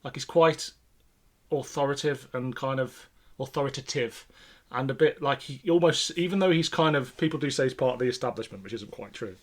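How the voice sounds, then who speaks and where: A man's voice: medium pitch, a little fast. A British man co-hosting a podcast in a casual, conversational chat style. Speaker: podcast co-host. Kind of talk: casual chat about classic television sci-fi.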